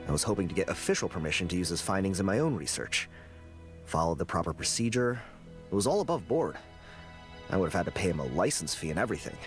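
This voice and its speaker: A bitter young researcher. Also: male voice, deep voice, expressive, fast talker. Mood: aggrieved. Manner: sulky, grumbling. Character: resentful young male researcher. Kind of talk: game voice acting. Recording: game audio with background music.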